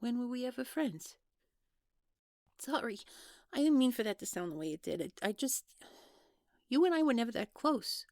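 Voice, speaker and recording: A woman playing a guarded, awkward young woman in an audio drama, speaking naturally in a halting, hesitant way. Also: fairly high pitch, animated, darkish tone, dry recording, studio quality. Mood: agitated, defensive. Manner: hesitant, halting, trailing off, nervous laughs. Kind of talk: naturalistic one-sided audio roleplay acting.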